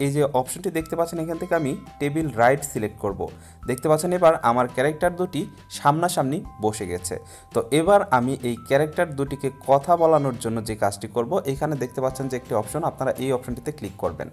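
A man's voice: on the high side, darkish tone. A young man hosting an explanatory tutorial in a step-by-step, instructional way. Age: young adult. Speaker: tutorial host.

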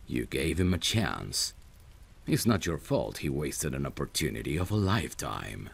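A man playing a protective, lovesick friend in a romantic ASMR roleplay, speaking tenderly and intimately in a husky, gravelly voice. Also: extremely low pitch, big pitch swings, heavily acted. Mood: tender, concerned. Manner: tender, soothing, unhurried. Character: protective lovesick male friend, gentle and devoted.

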